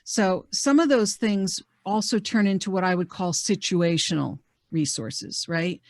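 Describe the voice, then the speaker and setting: Woman coach doing a webinar from home, loud voice, lots of "you know"s, dead dry room. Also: fairly low pitch, unhurried, dry recording. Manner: conversational, lots of fillers and restarts. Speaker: career coach leading a webinar. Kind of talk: presenting a networking webinar. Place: home office on a video call.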